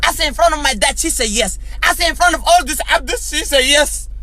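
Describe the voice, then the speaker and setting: A man tells a comic story with a very high, bright voice. He delivers it loudly and with a lot of animation. The sound is dry and close. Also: fairly quick, no room sound, faint music behind.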